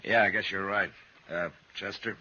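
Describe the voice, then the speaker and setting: An actor playing a steady, laconic frontier marshal with dry, measured authority, acting and narrating in a 1950s radio western. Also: male voice, low-pitched, exaggerated voice. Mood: calm, firm. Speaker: lead character and narrator. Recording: muffled 1950s radio recording.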